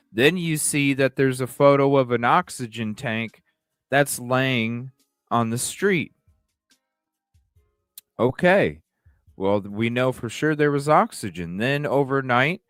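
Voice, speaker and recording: A man's voice: medium pitch, fairly bright tone, smooth, no echo, clean studio sound. A man hosting a livestream with casual, speculative commentary, repeating phrases and asking rhetorical questions. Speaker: livestream host.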